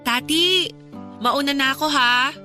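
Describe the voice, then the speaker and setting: A woman's voice: sits high, unhurried, bright, forceful tone, faint music behind, full character voice. A woman with a Filipino accent playing a weary, regretful young woman in a radio drama, speaking in a polite conversational way. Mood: polite, slightly awkward. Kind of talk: radio drama dialogue acting.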